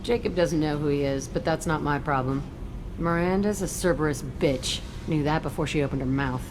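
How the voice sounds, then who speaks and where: A woman's voice: deep voice, normal speed, background noise, natural voice. A woman playing a tough, cynical fugitive criminal in a video game, speaking in blunt, clipped phrases.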